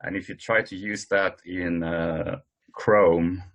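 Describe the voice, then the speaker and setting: Low-voiced man, halting and hesitant. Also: steady tone, fairly bright tone. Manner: hesitant, halting delivery with many pauses. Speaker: meeting host presenting tools. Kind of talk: informal work meeting presentation. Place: online conference call.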